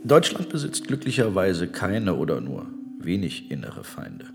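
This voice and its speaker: A man's voice: deep voice, huge pitch swings, gravelly, a little hiss or hum, full character voice. A cold, lecturing Ottoman statesman. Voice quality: measured, lecturing, dismissive. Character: cold self-righteous male Ottoman statesman. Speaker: government minister. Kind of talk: radio play acting.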